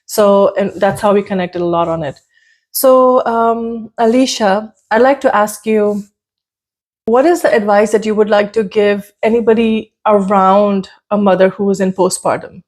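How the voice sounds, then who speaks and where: A woman in her thirties hosts a relaxed podcast conversation at a middle pitch. She talks easily and casually, restarting her sentences and leaning on fillers as she goes. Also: unhurried. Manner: warm greeting, conversational with fillers and repeats. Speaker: podcast host.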